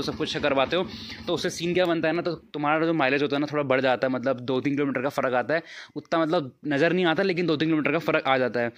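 Talk to the phone like a young man showing friends his bike service, quick, loose and chatty. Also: on the high side. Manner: chatty, calls viewers "bhai" constantly. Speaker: motovlogger. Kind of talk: casual vlog narration to camera. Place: bike service shop, outdoors. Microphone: phone camera.

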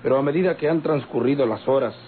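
A man's voice: medium pitch, close to an everyday voice. Man acting formal Mexican police commander, tinny radio. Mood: grave concern. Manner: formal, measured, deliberate. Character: courteous, worried male police commander. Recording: tinny, hissy old radio recording.

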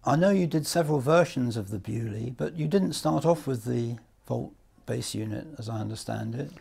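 Gravelly, husky older English interviewer man. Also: mid-range voice, moderate pace, warm tone. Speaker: interviewer, audio journalist. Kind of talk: measured interview questions. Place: indoor hi-fi demonstration room. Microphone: lapel mic.

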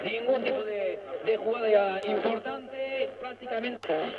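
A man's voice: very high voice, steady tone, a little slow. A man commentating live on football, heard over a radio. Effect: radio reception. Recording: muffled radio with heavy static.

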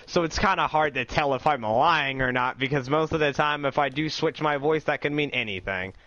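A man's voice: a little high, a little fast, pushed and bright, no echo, highs cut off. A young man chatting casually while gaming, joking and rambling as he plays with his voice. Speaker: gamer.

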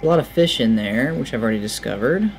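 A man's voice: mid-range voice, animated, quick pace, creaky, soft background music, rough recording. A man in his thirties giving casual, enthusiastic let's play commentary, musing to himself as he plays. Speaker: gaming video host. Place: indoor room at a desk.

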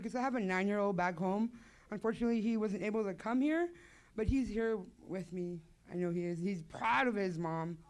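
A woman's voice: mid-range voice, a little mellow. A woman giving a fervent, devout testimony on a church stage into a handheld mic. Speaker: program graduate. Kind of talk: personal testimony.

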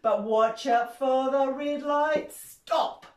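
Older kiwi lady doing toddler songs, high voice, slow and bouncy, far off camera in an echoey room. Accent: New Zealand. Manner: cheerful, animated, sings and gasps to engage toddlers. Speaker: library rhymetime presenter. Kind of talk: children's song and rhyme session. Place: small carpeted room indoors. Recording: roomy sound from a far-off camera.

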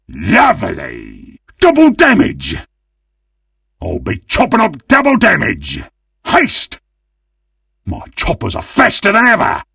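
A man voicing a greedy, gleeful, monstrous undead butcher in a video game, with a gravelly, husky British-accented voice and a menacing, theatrical manner. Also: lowish voice, pushed and bright, no echo, studio quality, far from the mic, heavily acted. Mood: excited, triumphant. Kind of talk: video game character voice lines.

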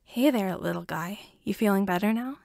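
Woman reading a gentle, soft-spoken dad. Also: mid-range voice, moderate pace, vocal fry, no room sound, studio quality, lightly acted. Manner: soft, reassuring. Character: gentle, kindly father of a small boy.

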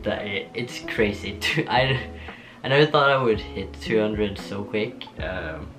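A young man from Norway with a Norwegian accent, chatting casually to camera in a small home studio, laughing often and pausing with ums. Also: lowish voice. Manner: relaxed, giggly, lots of ums and restarts. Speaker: vlogger. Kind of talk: casual get-to-know-me chat. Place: small bedroom studio with shelves and posters. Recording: soft guitar music underneath.

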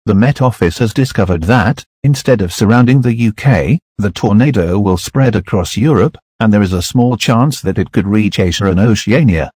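Low, husky synthetic male announcer. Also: moderate pace, hardly any pauses, a little mellow, no echo. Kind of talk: scripted emergency broadcast announcement.

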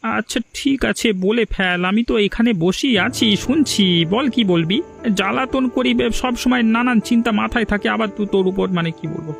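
A man's voice: extremely high pitch, a little fast, vocal fry, faint music behind. A man voicing a weary, principled village father in a Bengali cartoon, grumbling as he talks.

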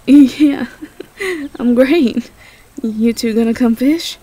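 A woman playing a tender, easily overwhelmed Southern belle girlfriend in a roleplay audio drama, with a Southern US accent and a halting, breathy delivery. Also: high voice, no room sound, full character voice. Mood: amused, touched. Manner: soft, halting, repeats words.

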